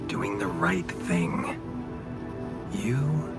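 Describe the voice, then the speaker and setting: Game villain-executive: very unhurried, highly expressive intonation, smooth talker, faux-sympathetic and condescending. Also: male voice, exaggerated voice. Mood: smugly philosophical. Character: smooth-talking, calculating corporate mining boss. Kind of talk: cinematic video game acting. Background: prominent music.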